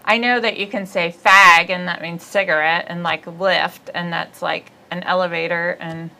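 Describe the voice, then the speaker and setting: Bright-voiced chatty Midwestern woman comic. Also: lowish voice, fairly even intonation, normal speed, creaky, slight background noise, poor audio. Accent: Midwestern American. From Ohio, USA. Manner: chatty, laughing, bawdy storyteller. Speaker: comedian host. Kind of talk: casual comedic chat. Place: small studio set, seated indoors.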